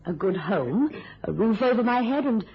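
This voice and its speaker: An actress playing a prim, controlled middle-aged spinster in an old radio drama, solicitous and husky. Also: female voice, on the high side, animated, normal speed, slight background noise. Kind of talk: mid-century radio drama acting. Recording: muffled old-time radio recording.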